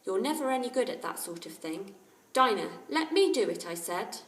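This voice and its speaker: A woman voicing a brisk, plain-spoken, touchy schoolboy in a storybook. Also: mid-range voice, very animated. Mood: irritated. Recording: roomy echo, distant microphone.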